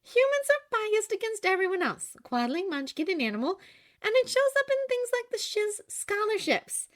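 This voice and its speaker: A woman voicing a bubbly, excitable young woman in a storybook reading, with a high, chirpy and breathless delivery. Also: normal speed. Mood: earnest.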